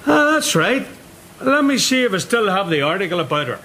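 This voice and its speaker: A man's voice: high voice, big pitch swings, a little fast, bright, forceful tone, a little hiss or hum, exaggerated voice. An actor in a radio drama playing a chatty mill foreman who tells ghost stories. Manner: chatty, storytelling. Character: middle-aged foreman, friendly storyteller. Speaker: Mister Jennings, mill foreman.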